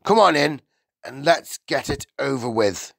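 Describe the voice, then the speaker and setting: Here is a male reader acting an ill-mannered storybook dad, impatient and gruff. His pitch jumps well above normal and swings about as he snaps curtly and brushes people off. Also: lively intonation, unhurried, fairly bright tone, dry recording, full character voice. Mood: irritated, curt. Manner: curt, snapping, dismissive. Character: gruff, rude, impatient middle-aged father.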